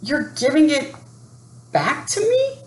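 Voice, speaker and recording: A woman's voice: sits high, huge pitch swings, slow, recorded from a distance, pitched above the performer's own voice, exaggerated voice. A woman reading the part of an earnest, grateful young boy in a children's storybook. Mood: excited, grateful. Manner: earnest, gleeful. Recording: echoey room with faint background noise.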